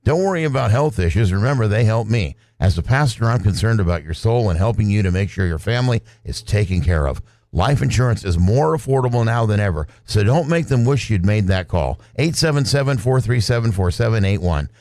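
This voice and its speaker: Low-voiced man reading a radio ad. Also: lively intonation, a little fast, darkish tone, no echo. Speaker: pastor giving an ad testimonial. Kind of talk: scripted radio ad read.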